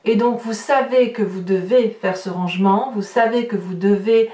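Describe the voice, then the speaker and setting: A woman's voice: medium pitch, moderate pace, warm tone, lo-fi sound. A woman giving a spontaneous tarot guidance reading, explaining reflectively as she searches for words and rephrases. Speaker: tarot reader hosting an online horoscope reading. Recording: roomy echo, distant microphone.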